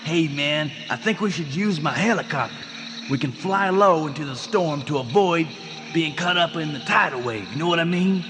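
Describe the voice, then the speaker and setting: A man's voice: on the high side, animated, moderate pace, over music, lightly acted. Man as chummy pilot, echoey radio play. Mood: matter-of-fact. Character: casual, practical helicopter pilot from an oil rig. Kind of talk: radio drama acting. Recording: noisy radio drama, long reverb.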